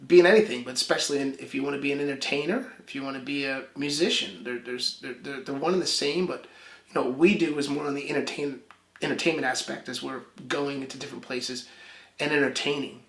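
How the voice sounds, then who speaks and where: A man's voice: medium pitch, fairly even intonation, fairly bright tone. A man, a musician guest, talking casually in an interview, stumbling and restarting often. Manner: halting, many restarts. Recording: echoey room.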